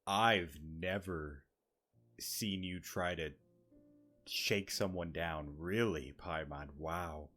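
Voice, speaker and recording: A man's voice: deep voice, steady tone, slow, a bit bright. A man giving casual let's-play commentary, with wry, joking asides. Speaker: gaming YouTuber. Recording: game music underneath. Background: game music.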